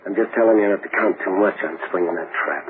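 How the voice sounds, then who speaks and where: An actor playing a desperate condemned prisoner bent on revenge, speaking in terse, intense lines, in old radio drama style. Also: male voice, deep voice, fast, lightly acted. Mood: tense. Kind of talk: 1940s radio acting. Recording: tinny hissy old radio broadcast.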